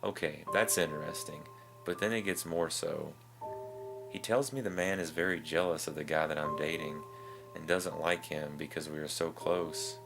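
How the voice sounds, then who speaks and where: A man's voice: a bass voice, moderate pace, creaky. A man reads scripted first-person creepy stories as a narrator. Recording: music behind the voice.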